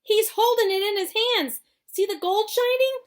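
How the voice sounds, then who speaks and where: Lady doing a crowd person yelling, high and excited. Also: moderate pace. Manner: shouting.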